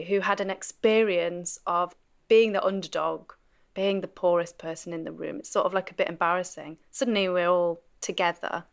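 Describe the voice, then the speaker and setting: A woman's voice: lowish voice, smooth. A woman speaking openly and a little hesitantly in an interview.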